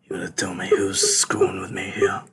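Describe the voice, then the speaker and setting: A man's voice: low-pitched, monotone, normal speed, pushed and bright, breathy edge, in a whisper, faint music behind, full character voice. A man playing a hot-tempered, threatening self-styled rational thinker in a comedy sketch, given to theatrical outbursts. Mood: menacing.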